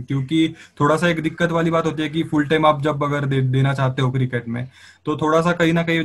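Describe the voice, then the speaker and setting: A man with an Indian accent hosting a casual online interview, asking long questions that he rephrases as he goes. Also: fairly high pitch. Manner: wordy, rephrases questions at length. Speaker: interviewer, channel host. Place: remote call on social media live.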